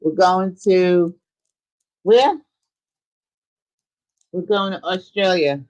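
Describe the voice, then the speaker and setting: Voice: mid-range voice, very slow, darkish tone, muffled recording. A live stream host chatting casually and informally, thinking aloud while giving a tour of a virtual world.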